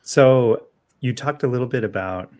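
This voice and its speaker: A man's voice: mid-range voice, moderate pace, a little mellow. A man co-hosting a local news podcast in casual chat, with a playful, digressive way of talking.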